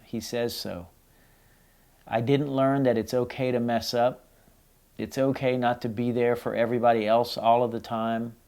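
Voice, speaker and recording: Middle-aged male, mellow with vocal fry, reading and reflecting on a personal letter as a son. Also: mid-range voice.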